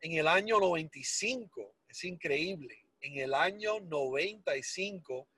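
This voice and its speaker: Husky high-voiced Cuban-accented man. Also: measured pace. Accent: Cuban Spanish. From Miami, United States. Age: 40s. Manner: animated, self-deprecating, mixes in English words. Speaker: guest. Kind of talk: casual storytelling interview.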